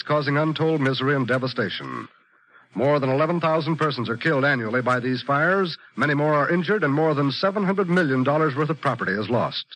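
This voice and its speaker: A man's voice: fairly high pitch, a bit bright, low-quality recording. A man with an American accent announcing in an emphatic, formal 1950s radio style. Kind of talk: 1950s radio announcing, scripted public service message.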